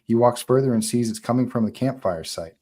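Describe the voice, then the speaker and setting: A man's voice: medium pitch, a little fast, warm tone. A man narrating stage directions at a live screenplay table read.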